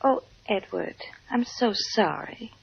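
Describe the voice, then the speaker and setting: A woman playing an alluring, devoted, scheming mistress with a breathy voice, in a 1950s radio drama. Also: mid-range voice, animated, slow, no room sound, heavily acted. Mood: concerned, adoring. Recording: dull old radio recording.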